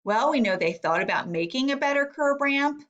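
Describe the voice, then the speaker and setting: High, lively woman teaching young children. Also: moderate pace, muffled recording. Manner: instructional, thinking aloud with guiding questions. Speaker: elementary reading teacher. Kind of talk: read-aloud lesson for young children.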